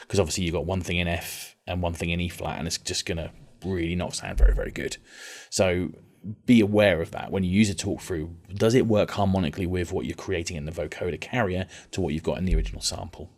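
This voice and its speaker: A man explaining music software as a tutor, in an informal, rambling, conversational way. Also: deep voice. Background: synth and vocoder music.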